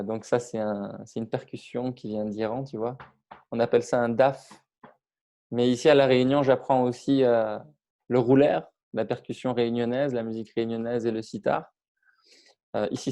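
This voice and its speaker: A man's voice: medium pitch, fairly even intonation, normal speed, mellow. A middle-aged man from Iran, a public speaking trainer, talking casually with many hesitations and restarts. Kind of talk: casual interview on video call.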